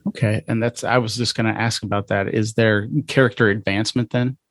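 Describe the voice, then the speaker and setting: Male podcast host, lowish voice, dry close sound, informal and slightly hesitant interviewing. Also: little pitch movement, fairly quick, a bit bright, no echo.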